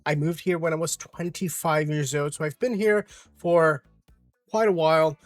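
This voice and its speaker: Brazilian-accented man, slightly high voice. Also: steady tone, moderate pace, no echo, soft background music. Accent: Brazilian Portuguese. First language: Brazilian Portuguese. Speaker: English teacher. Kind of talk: explaining his course step by step.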